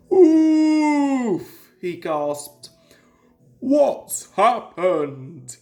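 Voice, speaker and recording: A person reading a children's story aloud in a deliberate, dramatic storytelling style. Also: mid-range voice. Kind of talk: expressive children's story narration.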